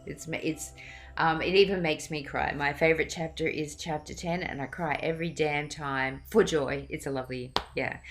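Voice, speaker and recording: A woman's voice: sits low, fairly bright tone. A middle-aged woman, an author chatting casually to her readers in an informal, rambling, effusive way. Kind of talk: casual chat to camera.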